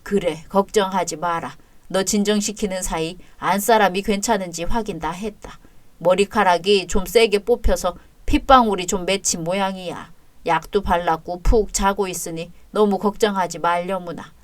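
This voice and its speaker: Woman doing a soft-spoken kindly old father-in-law. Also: fairly even intonation, moderate pace, no room sound, natural voice. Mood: gentle. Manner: low, gentle, soothing.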